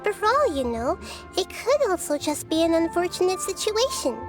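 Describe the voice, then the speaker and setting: Game casting: a tiny wise girl spirit, very high childlike voice, gentle, curious and measured, over background music. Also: very animated. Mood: teasing, reassuring. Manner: soft-spoken, measured, whimsical, gently teasing. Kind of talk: anime video game character voice lines.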